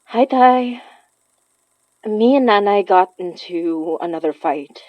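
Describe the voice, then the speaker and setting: A young Filipino woman with a conflicted, grieving heart, confiding haltingly as if leaving a private message. Her Filipino-accented voice sits at a medium pitch. The sound is very dry and slightly dull, like an intimate audio drama monologue. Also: animated, no echo. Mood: frustrated, reflective. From Philippines. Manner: halting, sighing, confessional.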